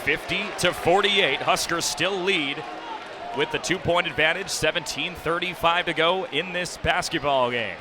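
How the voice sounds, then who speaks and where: A young man calling live basketball play-by-play on the radio with quick, descriptive calls that can rise to an excited shout. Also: a little high, a crowd in the background. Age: young adult. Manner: rapid descriptive calls, rising to excited shouts on big plays. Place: indoor basketball arena. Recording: noisy arena broadcast with bouncing balls. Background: loud game noise, basketball bounces.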